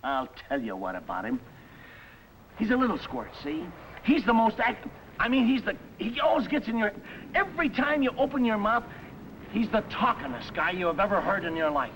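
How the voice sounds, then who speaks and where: A man's voice: high-pitched, sing-song, moderate pace, fairly bright tone, slight background noise, low-quality recording. A male actor playing a blustering, slangy American army sergeant in a 1950s film comedy. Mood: exasperated. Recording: old 1950s film soundtrack with music.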